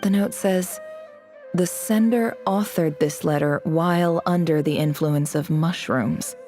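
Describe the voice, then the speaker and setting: A woman's voice: fairly low pitch, measured pace, mellow, soft background music, natural voice. A woman playing a disillusioned young academy student in a video game, talking in a wry, musing way. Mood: reading aloud. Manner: musing aloud, wry, reading documents out. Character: disillusioned young female academy student from the desert, cynical but curious. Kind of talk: video game dialogue acting.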